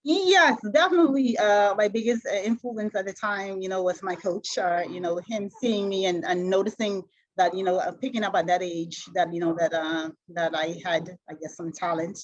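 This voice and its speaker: A middle-aged woman from the Bahamas with a Bahamian accent, answering interview questions in a halting way, full of fillers and restarts. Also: medium pitch, fairly even intonation, dull audio. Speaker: interview guest, retired sprinter.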